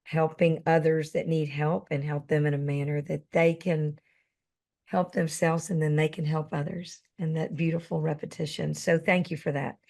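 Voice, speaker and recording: A woman's voice: low-pitched, little pitch movement, moderate pace, gravelly. A woman hosting an interview podcast, thanking her guest earnestly and asking him to share his story. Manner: earnest, warmly appreciative phrasing. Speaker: podcast host. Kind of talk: interview questions.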